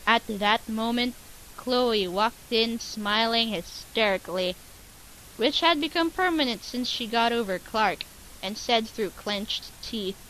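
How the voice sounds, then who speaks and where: Female comic narrator, high voice, playful dramatic delivery, faintly hissy home recording. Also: takes it slowly, a bit bright, poor audio. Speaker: co-host and story narrator. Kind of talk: comic dramatic reading of fan fiction.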